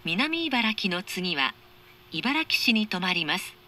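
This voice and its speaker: A woman making formal, measured, carefully articulated scripted train announcements over an onboard speaker. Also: medium pitch, big pitch swings, sharp tone. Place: recorded inside a train carriage.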